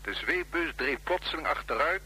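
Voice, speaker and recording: A man's voice: on the high side, lively intonation, normal speed, sharp tone, a little hiss or hum, rough recording. A man playing a deferential military subordinate in a science fiction radio drama, reporting in a respectful, clipped way. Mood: dutiful.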